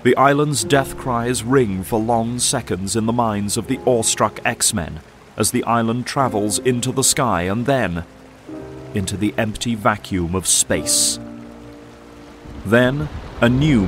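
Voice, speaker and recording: A man's voice: mid-range voice, a little slow, music in the background. A man giving solemn, theatrical scripted narration for an audio drama.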